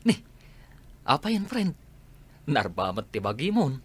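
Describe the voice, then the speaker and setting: A man acting a friendly, concerned old friend in a radio drama, speaking in an animated way. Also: mid-range voice, unhurried, breathy edge, full character voice.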